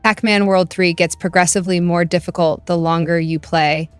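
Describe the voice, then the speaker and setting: A woman narrating a scripted video game review in an even, measured reading voice. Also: fairly low pitch, clear, resonant tone, dry recording. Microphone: voice-over.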